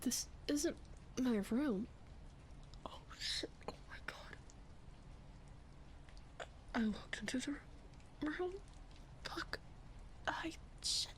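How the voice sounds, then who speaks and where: A woman's voice: high-pitched, expressive, heavily acted. A woman acting as a sleepy, flustered young roommate in an intimate roleplay ASMR, murmuring hesitantly and often whispering, shy and playful. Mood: flustered, embarrassed. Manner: hesitant, halting, breathy murmuring with sighs. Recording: clear background ambience noise. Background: rain ambience.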